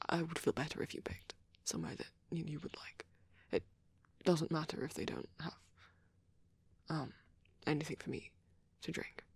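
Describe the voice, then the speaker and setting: A man playing a shy, devoted vampire boyfriend in an intimate ASMR roleplay, gentle, hesitant and stammering, with a breathy voice. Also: fairly high pitch, animated, moderate pace, dry recording, full character voice. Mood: shy, anxious. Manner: hesitant, stammering, soft and rambling.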